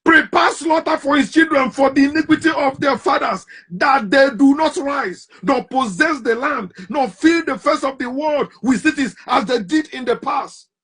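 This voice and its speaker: A man giving a forceful, preaching monologue full of rhetorical questions, his loudness rising and falling sharply. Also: very high voice, normal speed, few pauses. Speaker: commentator.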